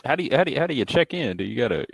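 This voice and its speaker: A man's voice: medium pitch, sing-song, racing, vocal fry. A man in casual, joking banter, slangy and often putting questions to the others.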